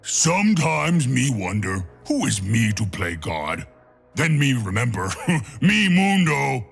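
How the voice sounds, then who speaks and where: A huge, simple-minded video game brute who fancies himself a physician. The voice is very gravelly and rough, slow and plodding, mangling grammar and naming himself in third person. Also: male voice, medium pitch, sing-song, faint music behind, full character voice. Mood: musing, self-satisfied. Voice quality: gravelly, husky. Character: hulking dim-witted mad purple brute who believes he's a doctor. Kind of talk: video game character voice lines.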